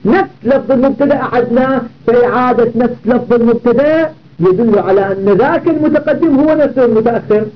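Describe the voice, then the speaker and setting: A man teaching grammar, explaining a classical poem line by line, slowing down for quoted verses and correcting himself as he goes. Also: very high-pitched, lo-fi sound. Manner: repeats and self-corrects, slows down for quoted verses.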